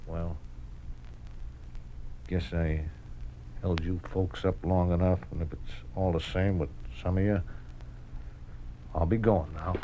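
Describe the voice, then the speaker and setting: An actor playing a good-hearted American working-class gambler in a 1950s radio drama, talking in slangy, mock-formal, hesitant phrases. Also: male voice, low voice, fairly even intonation, slow, pauses often, highs cut off, background noise. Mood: rueful, earnest. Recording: vintage 1950s radio broadcast.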